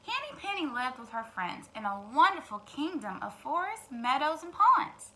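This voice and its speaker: Animated, high-voiced woman reading to children. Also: moderate pace. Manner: expressive, addresses young listeners directly. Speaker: teacher and storytime host. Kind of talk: children's read-aloud with asides. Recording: clear background noise.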